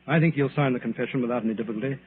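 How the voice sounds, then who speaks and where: An actor playing a confident, businesslike police inspector in an old-time radio drama, brisk and official. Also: male voice, mid-range voice, close to an everyday voice. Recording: tinny, noisy old radio recording.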